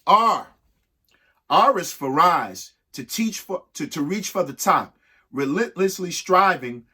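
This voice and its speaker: A man reads a picture book to children with theatrical emphasis. His fairly high voice swings widely in pitch, and he takes his time, leaving dramatic pauses between phrases. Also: pushed and bright, breathy edge. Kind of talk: expressive picture-book read-aloud with short asides to young listeners.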